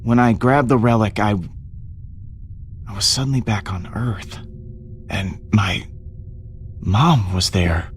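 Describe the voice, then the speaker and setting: A man playing a dazed young space adventurer in an animated game, subdued and halting. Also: lowish voice, a little hiss or hum. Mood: shaken, hesitant. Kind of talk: animated game drama acting.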